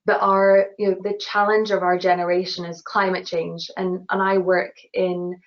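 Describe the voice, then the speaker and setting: Glaswegian woman speaking in a flat, even tone. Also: fairly low pitch, moderate pace. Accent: Scottish (Glasgow). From Glasgow, Scotland. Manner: earnest, many restarts and "you know" fillers. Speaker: award-winning engineer guest. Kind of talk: webinar Q&A answers. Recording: dull lo-fi webinar audio.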